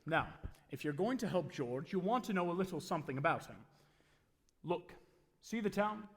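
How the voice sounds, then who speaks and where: A man playing a calm, authoritative superintendent of angels in a radio play, speaking in a measured, patient way. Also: high voice, husky, heavily acted. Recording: echoey live hall taping.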